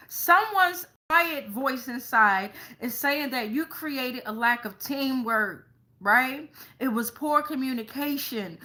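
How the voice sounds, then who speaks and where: High, bright-voiced woman reading tarot. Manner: colloquial, swearing, frequent check-in tags like okay and right. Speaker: host, tarot reader. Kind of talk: improvised tarot reading addressed to online viewers.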